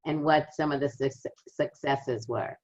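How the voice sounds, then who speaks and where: A woman's voice: sits low, fairly even intonation, unhurried, a little mellow, lo-fi sound. A woman hosting a podcast interview, asking short, direct questions. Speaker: podcast host.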